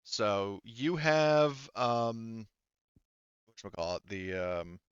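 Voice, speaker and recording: A man running an online tabletop roleplaying game as game master, talking haltingly as he thinks aloud through the rules. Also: on the low side, clear, resonant tone, no echo, muffled recording.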